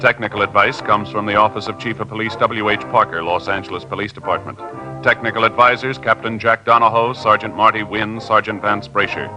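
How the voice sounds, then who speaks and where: A man's voice: on the low side, steady tone, over music, poor audio. A man reading formal scripted announcements as a radio announcer. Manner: measured, formal delivery.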